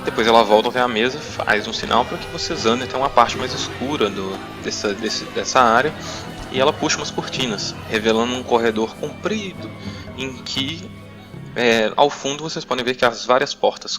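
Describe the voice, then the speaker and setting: A man's voice: medium pitch, normal speed, over music. A young Brazilian man improvising tabletop RPG narration as game master, hesitantly searching for his words.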